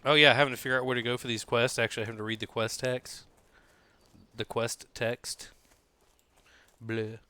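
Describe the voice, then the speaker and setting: Thirties man, game streamer, rambling casual chat, bright tone, home desk mic. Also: medium pitch, little pitch movement, moderate pace, pauses often, smooth. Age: 30s. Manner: rambling, lots of "like" and restarts, swears freely. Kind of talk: casual chat while gaming.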